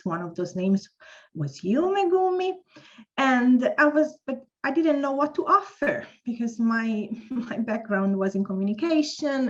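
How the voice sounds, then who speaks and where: Italian woman, panel guest, mid-pitched, thoughtful and word-searching, dull recording. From Italy. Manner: reflective, searching for words. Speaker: panel speaker. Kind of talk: telling her career story. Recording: dull lo-fi audio.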